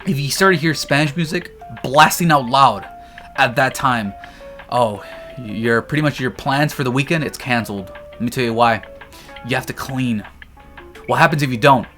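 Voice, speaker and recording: A young man from Texas talks to camera in a loose, slangy, joking way, with a mid-pitched voice. Faint guitar music plays underneath. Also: moderate pace, fairly bright tone. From Texas, United States. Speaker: vlogger. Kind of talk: casual comedic chat.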